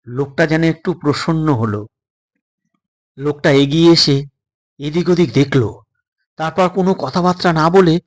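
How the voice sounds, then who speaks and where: A man with a husky voice reading a scripted suspense story in a dramatized narrating style. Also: fairly high pitch, a little mellow.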